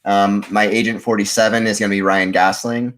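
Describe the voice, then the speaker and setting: A man's voice: on the low side, level tone, fairly quick, a bit bright, soft background music. A man chatting casually as a contestant on a podcast. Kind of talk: casual chat.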